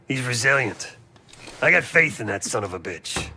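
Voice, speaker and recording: An actor playing a blunt, wry American covert operative in a video game drama, talking casually and loyally. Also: male voice, medium pitch, big pitch swings, normal speed, gravelly, background noise. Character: gruff, streetwise, loyal covert operative, adult man. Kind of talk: video game cutscene acting.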